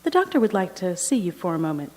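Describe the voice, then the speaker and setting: A woman's voice: on the low side, very animated, fast talker, background noise. A woman playing a breezy, self-satisfied office colleague in a radio drama, chatty and airy. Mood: breezy, smug. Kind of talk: stage radio drama acting. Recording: echoey hall, live stage performance.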